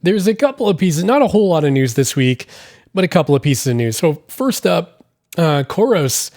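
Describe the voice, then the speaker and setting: A man's voice: fairly high pitch, sing-song, normal speed, darkish tone, no echo, studio quality. A man in his thirties with a scratchy voice from being sick, hosting a casual solo podcast from a hotel room in a rambling, apologetic way. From Massachusetts, United States. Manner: rambling, self-deprecating, apologetic. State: scratchy voice from illness. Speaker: podcast host.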